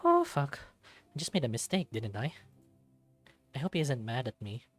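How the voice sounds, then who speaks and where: A man's voice: mid-range voice, huge pitch swings, moderate pace, a bit bright, no echo, soft background music. A man voicing an earnest, awkward young recruit in a visual novel, with a lifted youthful voice and hesitant, apologetic delivery. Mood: flustered.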